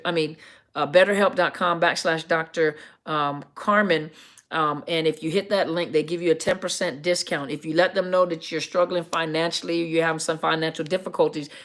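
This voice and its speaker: A middle-aged woman with a deep, scruffy, gravelly voice, a counselor chatting casually to viewers on a live stream and often correcting herself with ums. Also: a bit bright. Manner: rambling, self-correcting, many ums.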